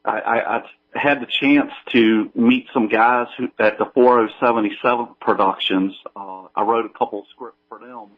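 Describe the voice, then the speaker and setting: A man giving casual, modest, halting answers as a radio interview guest, on a line with fluctuating volume. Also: mid-range voice, steady tone, phone-quality sound. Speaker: guest, audio drama creator.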